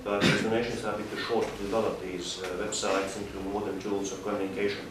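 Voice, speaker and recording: Lower-voiced guy, diaspora group rep, lots of uh's, big echoey room, noisy. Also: flat delivery. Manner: halting, many filler sounds. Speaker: panelist for a diaspora organization. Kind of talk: panel discussion. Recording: echoey hall, mic far off, background noise.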